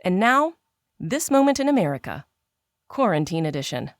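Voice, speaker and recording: A woman's voice: on the low side, very animated, normal speed, dry recording, studio quality. A woman hosting an audio drama podcast, reading a scripted introduction. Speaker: host and audio drama creator.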